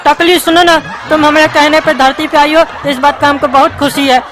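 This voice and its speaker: A woman's voice: sits high, fairly even intonation, a little fast, natural voice. A woman voicing a sentimental, doting widowed father in a comic cartoon, with a Bihari accent and a plaintive, folksy delivery. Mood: delighted, coaxing. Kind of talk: cartoon skit dialogue. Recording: music behind the voice.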